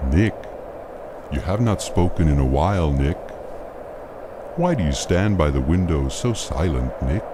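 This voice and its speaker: A man acting in an audio play as a calm, patient questioner who speaks formally, like a therapist. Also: extremely low pitch, unhurried, long pauses, background noise. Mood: calm, probing.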